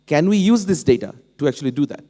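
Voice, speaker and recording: Halting Bangladeshi man in an echoing hall. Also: fairly high pitch, expressive, mellow. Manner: halting, many fillers and restarts. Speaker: panelist. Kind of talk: unscripted panel talk. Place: conference hall. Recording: long hall reverb.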